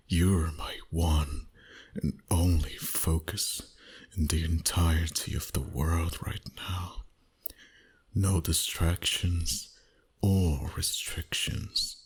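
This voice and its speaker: A man playing a seductive, dominant vampire boss in an intimate ASMR roleplay, breathy and husky, often whispering, with a slow teasing delivery. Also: low-pitched, heavily acted.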